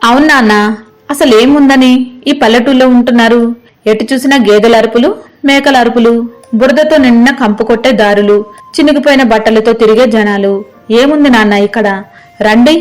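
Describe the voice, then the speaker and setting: A woman's voice: on the high side, moderate pace, soft background music, natural voice. A woman voicing a disdainful grown daughter who coaxes and complains in an acted moral story. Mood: coaxing, disdainful. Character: disdainful pleading grown daughter settled abroad.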